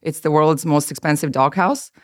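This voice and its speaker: A woman's voice: sits low, normal speed, no room sound. Serbian-accented woman, dry close sound. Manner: laughing, playful, frank. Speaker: guest. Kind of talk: casual chat.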